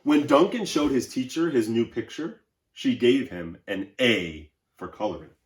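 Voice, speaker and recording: Male primary teacher for kids' read-aloud and lesson: lively intonation, encouraging, instructive, roomy recording. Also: medium pitch, a little slow. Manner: instructive, encouraging, addresses children directly. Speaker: elementary school teacher. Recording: roomy, echoey indoor sound.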